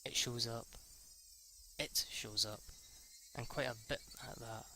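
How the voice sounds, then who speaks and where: A man with a sharp, very bright voice reads a visual novel aloud in an amateur let's play style. His pitch range is fairly narrow and his voice has some gravelly creak. He tends to trip over words and go back over them. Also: steady tone, slow pace, slight background noise. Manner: stumbles over words and rereads lines; casually foul-mouthed when reacting. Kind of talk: amateur read-aloud narration with off-the-cuff reactions.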